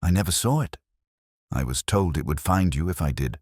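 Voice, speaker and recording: A male narrator plays a guarded young woman with a troubled past, in a low register for her. The delivery is hesitant and confessional, with expressive pitch movement, as in an audiobook mystery. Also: normal speed, slightly rough, dry recording, clean studio sound, full character voice. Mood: quiet, confessing. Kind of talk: audiobook character dialogue.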